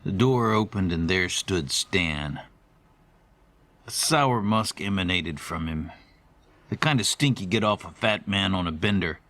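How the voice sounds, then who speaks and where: A man playing a formal, wordy middle-aged storyteller, giving a measured, ornate monologue in an audio horror drama. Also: sits low, fairly bright tone. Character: formal, verbose middle-aged man recalling haunting courier days.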